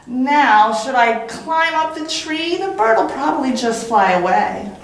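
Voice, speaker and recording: A sly, hungry storybook cat, as a woman reads him, musing and plotting to himself. The voice is fairly high with lively swings. Heavy room echo surrounds it. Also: a little slow. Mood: scheming. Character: sly scheming male cat, hungry for prey. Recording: very echoey room.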